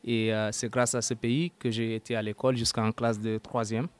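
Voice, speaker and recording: A young man from Sudan in his twenties giving an earnest personal testimony as an interview guest, halting and searching for words, turning forceful when indignant. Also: lowish voice, level tone, clear, resonant tone. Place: at a housewarming gathering.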